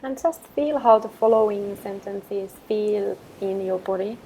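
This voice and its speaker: Slow-speaking woman guiding a meditation. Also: mid-range voice, a little hiss or hum, low-quality recording. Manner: calm, measured, gentle delivery with long pauses. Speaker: guided meditation instructor. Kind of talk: guided meditation with spoken affirmations.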